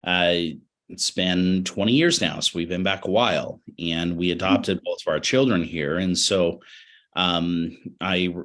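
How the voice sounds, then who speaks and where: A middle-aged American man with a low-pitched voice, a little raspy from a cold. He is a webinar guest reflecting on his work, choosing his words in a measured, halting and earnest manner. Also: fairly bright tone. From Utah, United States. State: has a cold. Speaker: interview guest, education professional.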